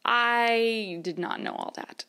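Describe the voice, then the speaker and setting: A woman's voice: on the high side, takes it slowly, sharp tone, smooth. A woman, chatting casually to camera in a rambling way with fillers and small laughs. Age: 30s. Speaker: vlogger. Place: her mother's house, indoors.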